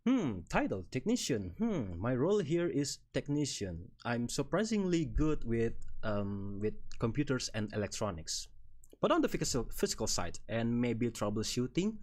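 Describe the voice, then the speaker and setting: An Indonesian-accented man in his thirties talks loosely through a stream, rambling and chuckling as he goes. His pitch swings up and down with plenty of life while sitting at an ordinary middle height for a man. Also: very animated, normal speed, a little mellow. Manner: rambling and good-humored, chuckles often. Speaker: VTuber streamer. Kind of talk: casual live-stream chat presenting slides.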